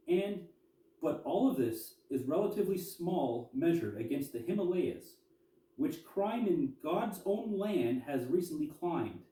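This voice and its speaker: A man's voice: a little high, mellow. A man presenting as a collector and historian, reading articles aloud and adding informal commentary. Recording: echoey room, distant mic.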